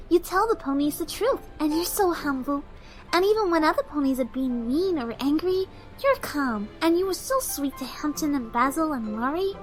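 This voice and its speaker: Shy gentle pony mare, very high soft voice, expressive pitch, timid, cartoon audio drama. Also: female voice. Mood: earnest, admiring. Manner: timid, hesitant, polite.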